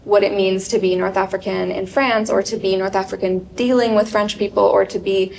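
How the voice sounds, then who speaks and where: Flat, gravelly woman moderating a panel. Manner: halting, searching for words, many fillers. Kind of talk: panel discussion question. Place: event stage before an audience. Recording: distant mic in a large room, faint rumble.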